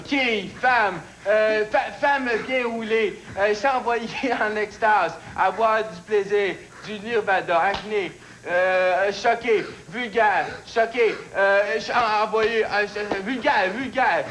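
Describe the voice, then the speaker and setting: A man playing a flustered, hapless game show contestant with a Quebec French accent, blurting guesses in halting fragments. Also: high-pitched, fairly bright tone, faint music behind. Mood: flustered, searching.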